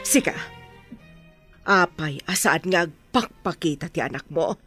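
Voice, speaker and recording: A woman's voice: lowish voice, expressive, unhurried, fairly bright tone, breathy edge, soft background music, heavily acted. An actress playing a haughty, scheming, threatening wealthy lady in a radio drama, with a Filipino accent.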